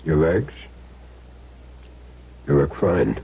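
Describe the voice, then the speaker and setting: A man's voice: a bass voice, very animated, very slow, vocal fry, slightly rough, full character voice. An actor playing a cool, terse, matter-of-fact hitman in a 1970s radio drama. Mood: guarded. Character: Jim Derry, cool laconic hitman back in town. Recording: dull lo-fi old radio recording.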